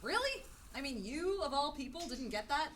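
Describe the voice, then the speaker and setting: A woman's voice: high voice, sing-song, moderate pace, fairly bright tone, slight background noise. A woman playing a plucky young captive heroine in a radio drama. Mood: plucky, incredulous. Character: plucky young female captive cheering on her hero. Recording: distant from the microphone.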